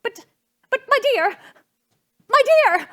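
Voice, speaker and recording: A woman playing an excitable, overwrought society hostess and mother in a stage comedy, exclamatory and given to repeating herself. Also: extremely high pitch, takes it slowly, full character voice. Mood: distressed. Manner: melodramatic, exclamatory, repeats phrases. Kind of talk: theatrical stage comedy acting.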